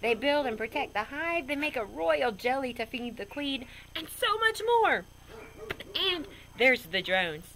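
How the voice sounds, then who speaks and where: A woman reading a children's picture book aloud in a goofy, expressive way with chatty asides. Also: high-pitched, a little slow, slight background noise, low-quality recording. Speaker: storybook reader.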